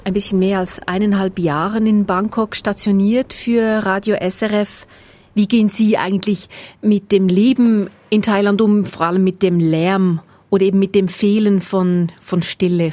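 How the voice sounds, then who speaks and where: A woman's voice: medium pitch, steady tone, a little slow, dark tone, no room sound. A woman hosting an interview, putting prepared questions to her guest. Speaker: interviewer, host.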